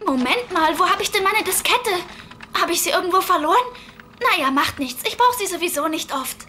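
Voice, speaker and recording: A woman's voice: extremely high pitch, expressive, fairly quick, bright, forceful tone, slight background noise, heavily acted. A woman playing a polite, modest, studious teenage schoolgirl in an anime audio drama. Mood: subdued, honest.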